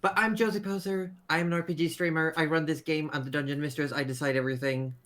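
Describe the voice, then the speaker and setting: A woman's voice: sits low, steady tone, quick pace, a bit bright. A woman running a tabletop game, chatting casually in a teasing, mock-bossy way. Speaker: game master. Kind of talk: casual chat.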